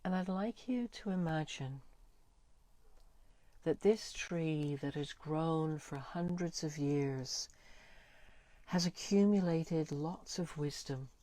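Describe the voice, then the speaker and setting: Slow, low-pitched woman guiding a meditation. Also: warm tone, no room sound. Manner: soothing, deliberate, pausing between short phrases. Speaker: guided meditation leader. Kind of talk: guided meditation, gentle spoken visualisation.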